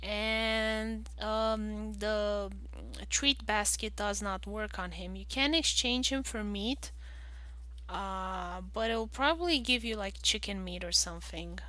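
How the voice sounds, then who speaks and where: A woman's voice: medium pitch, slow, bright, forceful tone. A woman giving casual game walkthrough commentary, thinking aloud in a hesitant way. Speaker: gaming video host.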